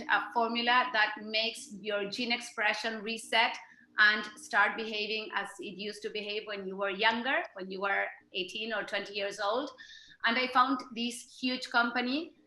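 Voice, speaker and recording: A woman in her forties, a business owner presenting her business, halting with many fillers. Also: mid-range voice, pushed and bright. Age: 40s. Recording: echoey room.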